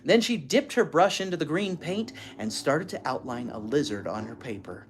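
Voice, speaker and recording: An expressive storyteller reading a children's book aloud, pausing to explain things to young listeners. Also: mid-range voice, slight background noise. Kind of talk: animated read-aloud with asides to child listeners.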